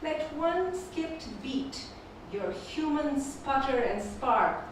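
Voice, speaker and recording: A woman whose first language is Urdu, reading her poems slowly and repeating each first line at a live poetry event. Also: sits high, animated, noisy background. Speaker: featured poet. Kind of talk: poetry reading with spoken introductions. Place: performing arts venue. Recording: echoey hall from far off.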